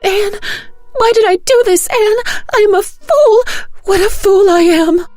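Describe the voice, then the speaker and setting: A woman performs a distraught woman in an audio drama, her voice pushed high and loud. She pleads through tears, sounding desperate and close to breaking down, over faint music. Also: unhurried, dry recording. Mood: hysterical despair. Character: Gloria, distraught sobbing woman pleading in despair.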